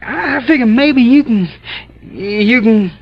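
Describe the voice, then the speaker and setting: A male actor playing a wary, frightened, defiant teenage boy in an old-time radio drama, with guarded, halting, rustic speech. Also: extremely high pitch, expressive, creaky, full character voice. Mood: fearful, confiding. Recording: dull vintage radio recording.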